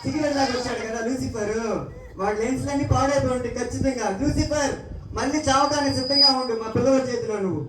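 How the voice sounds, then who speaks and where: A woman's voice: sits high, fast, fairly bright tone, slight background noise. A woman hosting a live puppet show for children, questioning them in a teacherly way. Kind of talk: live children's ministry presenting. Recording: echoey hall, distant mic, music, crowd.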